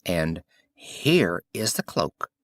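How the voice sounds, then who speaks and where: A man's voice: low voice, sing-song, extremely slow, no room sound, studio quality, pitched below the performer's own voice, full character voice. A man voicing a sly swindler who poses as a royal weaver, showy and obsequious, in a storybook reading. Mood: smooth, flattering.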